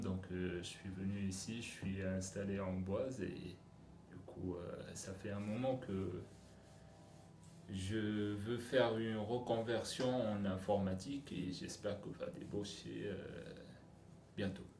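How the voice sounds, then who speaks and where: A man's voice: lowish voice, steady tone, a little slow, darkish tone. A man in his thirties with a Tunisian accent, recounting his studies and life in an interview. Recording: noisy, echoey room, distant microphone.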